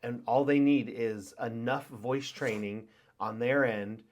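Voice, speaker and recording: A man's voice: medium pitch, fairly even intonation, takes it slowly. A man co-hosting a podcast in casual chat, rambling through stories and acting out phone calls in quoted voices. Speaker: podcast co-host.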